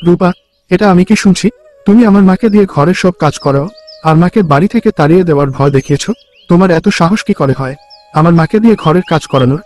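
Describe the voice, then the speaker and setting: A man's voice: sits high, fast talker, darkish tone, soft background music, natural voice. A man voicing a righteous, devoted young married son in a cartoon, stern and reproachful. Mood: angry, indignant.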